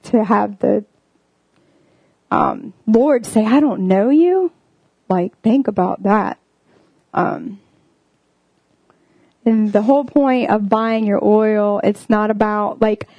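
A woman's voice: medium pitch, a little mellow, dry recording, rough recording. A young woman giving a casual, earnest sermon, filling her talk with "like" and "um". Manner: earnest, full of "like" and "um" fillers. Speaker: preacher.

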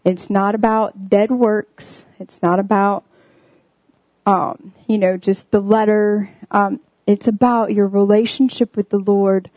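A woman's voice: medium pitch, flat delivery, slow, no room sound, lo-fi sound. A young woman giving a casual, earnest sermon, filling her talk with "like" and "um". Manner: earnest, full of "like" and "um" fillers. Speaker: preacher.

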